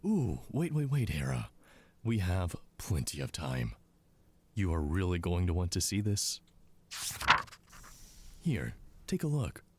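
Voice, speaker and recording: Smug, low-voiced male commander. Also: huge pitch swings, takes it slowly, long pauses, darkish tone, slight background noise, heavily acted. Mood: mocking, smug. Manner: condescending, mocking, drawling asides. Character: smug, condescending male commander of an intelligence team. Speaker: voice actor in audio drama. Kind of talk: scripted drama dialogue.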